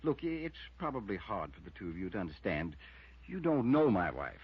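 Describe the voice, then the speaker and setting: An actor playing an anxious henpecked husband in a 1950s radio drama, stammering, fussy and pleading, with a husky voice. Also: male voice, low voice, sing-song, a little fast, full character voice. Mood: sheepish, miserable. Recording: muffled vintage radio broadcast.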